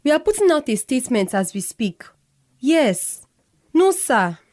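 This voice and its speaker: An actress with a Nigerian accent playing a brisk company boss in a radio drama. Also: female voice, high voice, very animated, a little mellow. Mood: deferential. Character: brisk female company boss, deferential to superiors.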